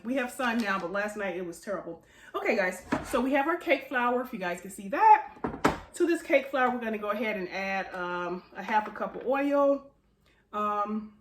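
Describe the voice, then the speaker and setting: An American woman, chatty and informal with a congested nose, hosting a casual baking tutorial for her viewers. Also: medium pitch. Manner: chatty, informal, addresses viewers as "you guys". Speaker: home baker and cooking channel host. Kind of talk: casual cooking tutorial chat. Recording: echoey room with faint background noise.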